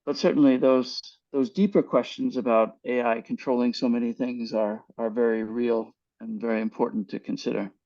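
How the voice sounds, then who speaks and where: An older man on a headset video call, answering a webinar question in a thoughtful, measured way with frequent restarts. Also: medium pitch, steady tone, dark tone, no echo. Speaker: guest speaker. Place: home room with virtual background. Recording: dull, dry video call audio.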